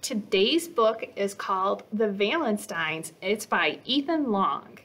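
A woman in her thirties reading a picture book aloud for children as a storytime host, expressive and deliberate. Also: medium pitch. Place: small indoor reading corner.

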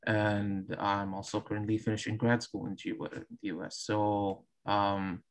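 Low, even-toned man from Morocco. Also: unhurried. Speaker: grad student, panelist. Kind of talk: casual panel talk on video call. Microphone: video call.

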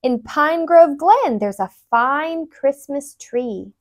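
Young woman as small storybook animal, high-pitched, loud, insistent, encouraging, very lively intonation. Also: takes it slowly, dry recording, studio quality. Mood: urging. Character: small eager bossy male-or-female mouse and animal friends.

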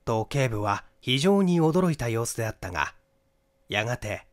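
A male audiobook narrator reads literary mystery fiction. His voice sits at an average pitch and moves with plenty of melodic variation. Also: sing-song, normal speed.

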